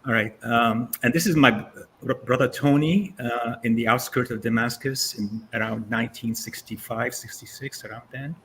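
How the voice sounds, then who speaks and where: A Syrian-born man in his sixties tells his life story in a relaxed, rambling way, full of "uh"s and restarts. His voice sits at an ordinary middle pitch. A faint hiss of background noise runs under it. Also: moderate pace, hardly any pauses. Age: 60s. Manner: conversational storytelling with frequent fillers. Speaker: guest speaker. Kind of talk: casual presentation over video call.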